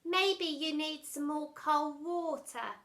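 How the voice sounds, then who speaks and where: A woman's voice: high voice, fairly bright tone, voice pushed up, exaggerated voice. A woman doing a sly smirking schoolboy. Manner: slow, mock-sincere politeness. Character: smirking sly schoolboy, fake-polite ringleader.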